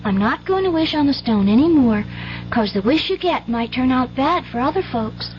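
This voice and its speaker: High-pitched earnest little country girl. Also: expressive, normal speed, faint music behind. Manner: folksy rural grammar, eager and wide-eyed. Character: earnest, trusting country schoolgirl, young daughter. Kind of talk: 1970s radio drama acting. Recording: dull, hissy old radio broadcast.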